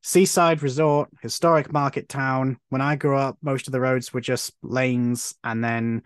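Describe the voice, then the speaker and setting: A man's voice: mid-range voice, a little slow, clean tone, no echo, studio quality. A man, telling stories eagerly as a podcast guest. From Cornwall, England. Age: 30s. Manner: animated storytelling, frequent restarts. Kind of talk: casual conversation.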